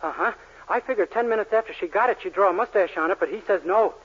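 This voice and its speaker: A naive, eager young male clerk in a 1940s radio comedy. He talks fast in a high, guileless voice. The recording sounds muffled and old. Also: a bit bright, breathy edge. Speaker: sitcom lead actor.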